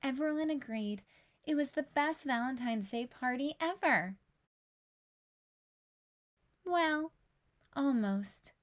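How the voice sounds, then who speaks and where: A woman reading a children's picture book aloud in an expressive storytelling style. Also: sits high, takes it slowly, pauses often.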